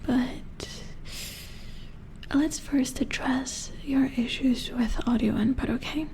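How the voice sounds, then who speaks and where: A woman playing a calm, matter-of-fact robot repair engineer in a soft-spoken ASMR roleplay, murmuring as if thinking aloud in a breathy whisper. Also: sits high, slow pace, heavily acted. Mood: matter-of-fact, explaining. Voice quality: breathy whisper with creaky edges.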